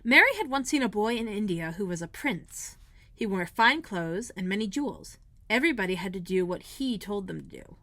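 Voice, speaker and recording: A woman narrating a children's storybook like a school librarian reading aloud, expressive and clearly phrased. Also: mid-range voice, moderate pace, no room sound.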